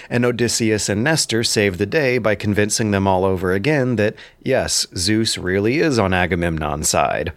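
A low-pitched man reads a scripted essay-style commentary. His delivery is articulate and learned, with emphasis landing on key words. The sound is dry and close, with very little room in it. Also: no echo. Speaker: narrator and commentator.

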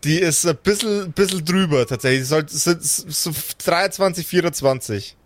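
A man's voice: a little high, normal speed. A man narrating improvised scenes casually as game master, describing them in colloquial words.

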